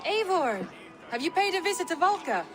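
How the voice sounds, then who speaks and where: An actress playing a candid young Viking wife with a wry, frank manner in video game dialogue. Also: female voice, extremely high pitch, sing-song, normal speed. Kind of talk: dramatic game cutscene dialogue. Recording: busy crowd and music behind.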